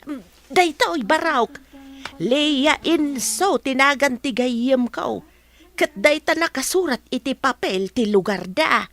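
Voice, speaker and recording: A high, piercingly bright voice of an older village woman, unhurried and chatty. She is deferential, speaking with an Ilocano accent in radio drama style. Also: animated, full character voice. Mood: revealing, intent.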